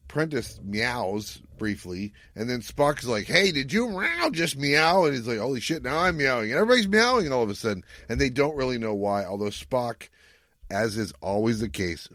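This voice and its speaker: A man co-hosting a podcast, retelling a story in casual chat with animated, acted-out quotes. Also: fairly low pitch, dry recording. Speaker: podcast co-host. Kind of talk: casual recap chat about retro TV sci-fi.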